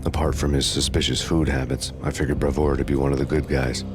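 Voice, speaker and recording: An actor playing a world-weary, bitter fugitive cop in a video game, narrating in a deadpan, sardonic noir style. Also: male voice, extremely low pitch, fast talker, music in the background. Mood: brooding, deadpan. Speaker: narrator and lead character.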